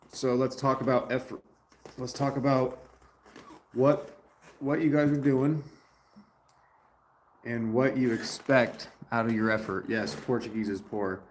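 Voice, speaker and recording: A man hosts a relaxed livestream from a garage, greeting viewers by name as he chats. His talk is loose and easygoing. A faint hiss of noise sits under the voice. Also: mid-range voice. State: dirty after a day of work. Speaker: livestream host. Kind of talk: casual livestream chat.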